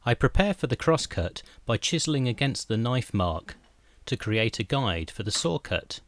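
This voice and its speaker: A middle-aged man calmly narrating a scripted woodworking how-to, step by step, as the channel's host. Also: medium pitch, normal speed. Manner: calm, methodical step-by-step explanation.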